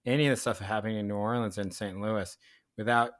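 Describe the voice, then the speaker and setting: A man co-hosting a casual chat, animated and outspoken, swearing and laughing as he argues. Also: fairly low pitch, fast talker. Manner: animated, swearing, laughing, bursts into loud asides. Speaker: podcast co-host.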